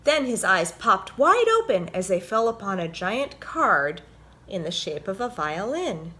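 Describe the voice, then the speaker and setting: A woman hosting a story time for children, reading and explaining things simply with expressive, deliberate phrasing. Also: medium pitch. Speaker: host and story reader, orchestra violinist.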